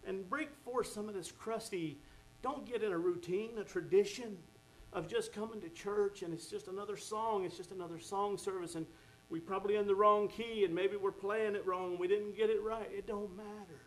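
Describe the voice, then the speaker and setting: A male preacher delivers a sermon in a fervent manner. His voice sits a little high, and he keeps exclaiming as he goes. A faint hum runs behind him. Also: fairly even intonation, normal speed, low-quality recording.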